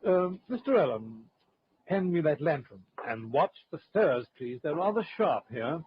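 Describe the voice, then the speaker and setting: Guy doing a courteous ship officer, kinda high voice, old timey radio horror, dull noisy sound. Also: sing-song, unhurried. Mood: courteous, grave. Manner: formal, polite, hesitant. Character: courteous ship's officer recovering bodies from the sea.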